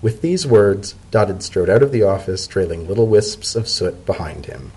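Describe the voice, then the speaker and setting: Husky-voiced man narrating a story. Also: low voice, expressive, moderate pace, darkish tone. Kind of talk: dramatic reading of a story.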